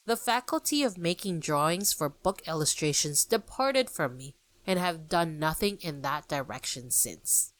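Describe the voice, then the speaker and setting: A woman's voice: lowish voice, fairly bright tone, no room sound. A woman hosting a podcast, talking informally about the history of a classic book's illustrations and speaking directly to her listeners. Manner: chatty, friendly, addresses listeners directly. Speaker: podcast host.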